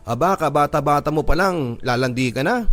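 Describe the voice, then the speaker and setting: A man's voice: fairly high pitch, lively intonation, a little fast, a bit bright. Man acting sneering Filipino older brother, music behind. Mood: scornful.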